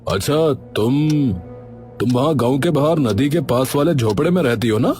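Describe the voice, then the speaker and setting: A man playing a scheming village headman in a cartoon story, smooth at first but bossy and dismissive. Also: mid-range voice, lively intonation, normal speed, darkish tone, soft background music, close to an everyday voice. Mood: nosy, ingratiating. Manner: falsely friendly, then bossy and dismissive. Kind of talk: Hindi cartoon story acting.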